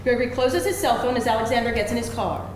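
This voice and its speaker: A woman's voice: on the high side, quick pace, darkish tone. A woman reading stage directions as scripted narration at a live screenplay reading. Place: live event room before an audience. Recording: very echoey room, faint noise.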